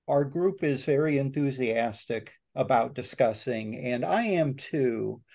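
Slow, mellow man in his 60s, easygoing podcast host on a video call. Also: medium pitch, creaky. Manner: easygoing, joking, with restarts. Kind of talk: casual panel discussion. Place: home office, video call. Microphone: webcam or computer mic on video call.

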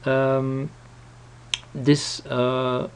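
Man, tutorial presenter, hesitant, unhurried pace, vocal fry. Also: medium pitch, monotone, a little hiss or hum. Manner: hesitant, halting explanation. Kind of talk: casual unscripted screen-recording walkthrough.

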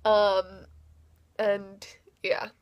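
A woman's voice: medium pitch, flat delivery, extremely slow, dry recording, dull audio. A young woman vlogging casually to the camera from her bedroom at home, chatty and upbeat, with frequent fillers. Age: young adult. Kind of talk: casual vlog chat to camera. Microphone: handheld camera or webcam at arm's length.

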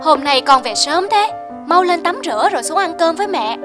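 A caring older mother in a cartoon. She speaks in a gentle, fretting, self-effacing way, with music clearly behind her. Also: extremely high pitch, expressive, normal speed. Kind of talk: cartoon dubbing.

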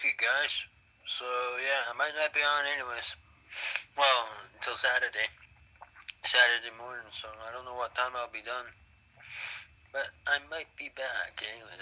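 A man's voice: medium pitch, bright, forceful tone. Rambling man over a tinny radio. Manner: rambling, repeats himself. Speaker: speed skater. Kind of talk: casual chat over walkie-talkie app. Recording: heard through a walkie-talkie speaker.

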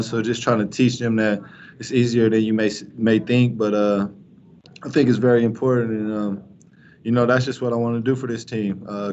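Young man, college athlete, flat monotone, halting, fillers, dull lo-fi stream, faint hiss. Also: mid-range voice. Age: young adult. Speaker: college basketball player. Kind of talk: postgame press conference answers. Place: press room in front of a sponsor backdrop.

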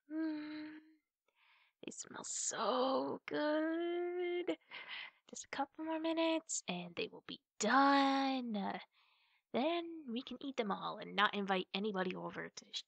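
Woman acting a playful big sister, ASMR roleplay, creaky voice, affectionate teasing, chatty, very dry close sound. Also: on the high side, no echo, full character voice. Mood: delighted. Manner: chatty, playful, with drawn-out words and hushed asides. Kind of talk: ASMR roleplay, spoken to the listener.

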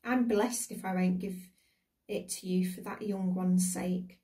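Woman reading as a plain-spoken, kindly shopkeeper, mellow. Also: fairly low pitch, steady tone, unhurried, recorded from a distance. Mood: kindly insistence. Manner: blunt but generous.

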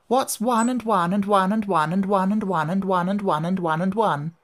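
Dithering, childlike storybook queen, voiced by a man, earnest and muddled, lifted pitch. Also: fairly quick, close to an everyday voice. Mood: quizzing.

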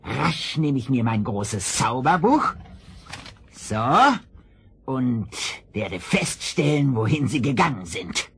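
Very raspy, wicked old sorcerer. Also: male voice, medium pitch, lively intonation, no room sound, full character voice. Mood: menacing. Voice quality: raspy, creaky. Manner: slow, menacing muttering. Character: wicked old male sorcerer, menacing, scheming. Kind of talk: children's fairy-tale radio play acting.

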